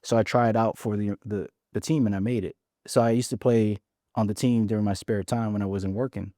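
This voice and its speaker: A man's voice: lowish voice, fairly even intonation, a little fast, warm tone, no room sound, studio quality. A man from Hawaii in his forties, a podcast guest frankly telling his story and often restarting his words. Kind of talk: casual interview storytelling.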